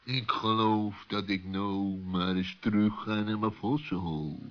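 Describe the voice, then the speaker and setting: A man's voice: on the low side, steady tone, a little slow, lightly acted. A man voicing a wary, slightly sheepish male fox in a children's radio play, curt and guarded. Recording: dull, lo-fi old recording.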